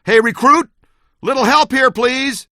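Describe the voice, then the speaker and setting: A man voicing a brisk, wry member of a ghost-catching team in a video game. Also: very high voice, sharp tone, dry recording, studio quality, full character voice. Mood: urgent, commanding. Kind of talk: video game character lines.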